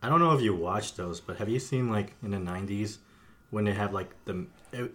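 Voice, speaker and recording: A man's voice: fairly low pitch, a little fast, fairly bright tone. A man co-hosting a casual podcast, talking in a blunt, joking, sweary way. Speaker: podcast co-host. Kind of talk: casual chat.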